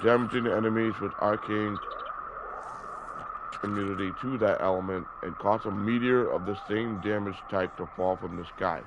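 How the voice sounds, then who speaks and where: A man's voice: on the low side, fairly even intonation, moderate pace. A man in his early thirties hosting a gaming video, stuffy and sore-throated from a cold, rambling with many fillers and correcting himself. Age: 30s. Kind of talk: casual gameplay commentary. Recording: dull lo-fi audio with background noise.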